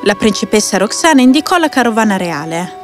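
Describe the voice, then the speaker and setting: A woman's voice: mid-range voice, very animated, a little fast. A woman narrating a scripted fairy tale for children as a storyteller. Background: faint music.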